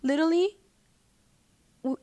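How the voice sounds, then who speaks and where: A woman's voice: extremely high pitch, measured pace, dark tone, no echo. A woman podcast guest talking in a casual, slangy way, leaning on emphatic filler words. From United States. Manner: informal, slangy, emphatic with "like" and "literally". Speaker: podcast guest, independent singer. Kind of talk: casual chat.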